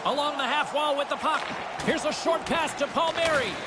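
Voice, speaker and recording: A man voicing an excitable hockey play-by-play announcer in a sports video game, calling the action in clipped, punchy phrases. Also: extremely high pitch, moderate pace. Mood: brisk, focused. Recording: music and crowd noise behind. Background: loud arena crowd and music.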